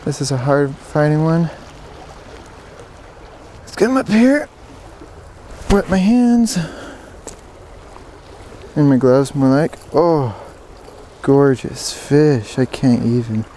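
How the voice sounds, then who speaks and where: A man giving excited, casual fishing commentary, repeating words in bursts, speaking outdoors into a body-worn action camera. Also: medium pitch, slow, long pauses. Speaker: fishing vlogger. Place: outdoors beside a stream. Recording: outdoor water noise behind voice.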